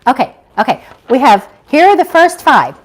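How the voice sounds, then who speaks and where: A woman's voice: high voice, sing-song, moderate pace, low-quality recording. A woman hosting a casual live chat as an author, effusive and grateful toward her viewers. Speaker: author and live-stream host.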